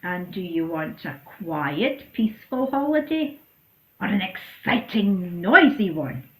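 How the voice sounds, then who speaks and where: A woman voicing a patient, kindly male donkey who asks gentle, thoughtful questions. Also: on the low side, big pitch swings, takes it slowly, a little hiss or hum, exaggerated voice. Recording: roomy echo.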